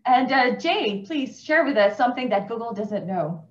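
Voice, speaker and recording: A woman moderating an online panel, upbeat and quick to laugh as she introduces the speakers. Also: fairly high pitch, animated. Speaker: webinar moderator. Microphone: video call. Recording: echoey room, dull video-call audio.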